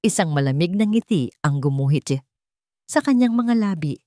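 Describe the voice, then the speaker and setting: A woman reads a dramatic scripted story in a somewhat low voice. Her delivery is measured, with pauses for weight. The sound is dry, with very little room in it. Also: animated, dark tone, no room sound. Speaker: story narrator.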